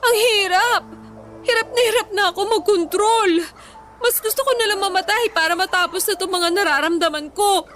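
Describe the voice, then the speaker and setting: High female voice, young adult, Filipino accent, anxious and plaintive, radio drama, faint music behind. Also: fairly quick. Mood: despairing, tearful. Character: anxious, ailing young woman who overeats, self-pitying. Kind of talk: Tagalog radio drama acting.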